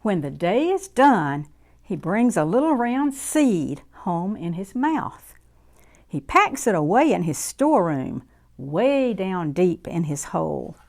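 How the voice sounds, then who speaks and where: A woman reading a picture book aloud to young children in an expressive, deliberate, sing-song way. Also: fairly low pitch, vocal fry. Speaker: children's story reader.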